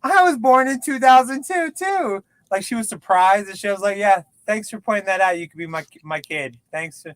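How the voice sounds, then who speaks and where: Guest in his late thirties, higher voice, dry close sound, jokey and self-mocking. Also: lively intonation, moderate pace, no echo. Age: 30s. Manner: joking, self-deprecating, repeats words. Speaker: podcast guest. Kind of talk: casual chat.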